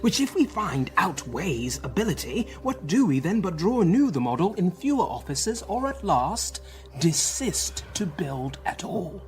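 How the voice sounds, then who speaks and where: An actor playing a cautious, deliberate nobleman in a radio Shakespeare play, arguing in measured rhetoric. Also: sits high, big pitch swings, a little mellow. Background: music.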